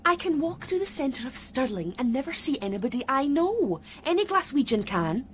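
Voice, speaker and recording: A woman's voice: high-pitched, big pitch swings, moderate pace, slight background noise. An actress playing a proud female police detective in a radio drama, guarded and defensive under questioning. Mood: reluctant confession. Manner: guarded, indignant, reluctant.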